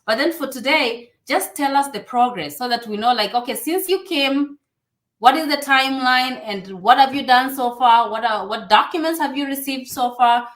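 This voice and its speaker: A woman hosts a casual interview, nudging her guest along with rambling, filler-heavy questions. Her voice sits fairly high, and the room adds a clear echo. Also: normal speed, a bit bright. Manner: prompting, loose with fillers like "like". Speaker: host. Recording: roomy echo.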